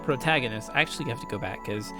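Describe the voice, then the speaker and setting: A woman's voice: rumbling low, very fast, music in the background. A woman doing a casual, slangy Let's Play, chatting and reading visual novel text aloud in a playful, informal way.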